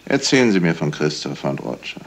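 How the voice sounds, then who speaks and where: An actor playing a middle-aged investigator in a 1970s radio crime play, courteous, measured and insistently probing. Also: male voice, a bass voice, very animated, slight background noise, exaggerated voice. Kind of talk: 1970s German radio crime drama acting.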